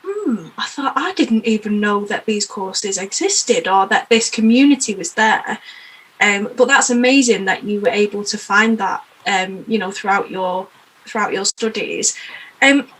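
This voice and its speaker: A woman's voice: mid-range voice, measured pace, fairly bright tone, slightly rough, low-quality recording. A woman from the UK hosting a podcast, chatty and eager, often affirming her guest. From United Kingdom. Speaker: podcast host. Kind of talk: casual interview chat.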